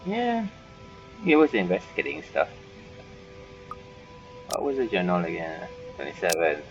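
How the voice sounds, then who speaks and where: A man's voice: low-pitched, lo-fi sound. A man commenting casually on a game as he plays, musing and thinking aloud. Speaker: gaming channel host.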